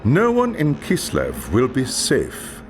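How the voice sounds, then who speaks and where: A man playing a wise, grave elven lore master in a video game, measured and portentous. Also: medium pitch, huge pitch swings, over music. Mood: grave warning. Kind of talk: dramatic video game acting.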